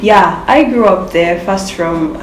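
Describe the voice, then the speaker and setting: A woman with a Ugandan accent, talking casually as an interview guest in short, halting phrases. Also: on the low side, a little hiss or hum. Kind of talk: casual chat. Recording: echoey room sound.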